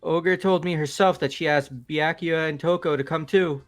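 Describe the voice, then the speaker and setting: A man's voice: on the high side, steady tone, normal speed, faint music behind, close to an everyday voice. A man voicing a goofy, bumbling, evasive young character in a video game read-through, hesitant and casual. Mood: surprised. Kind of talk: hobby video game voice acting.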